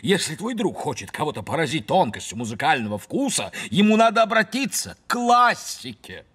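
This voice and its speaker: A man's voice: a little high, very animated, fairly quick, husky, full character voice. A man voicing a pompous, worldly old crow in a children's cartoon, grand, self-assured and theatrical. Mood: pompous, advising. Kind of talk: animated children's cartoon dialogue. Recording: loud music behind the voice.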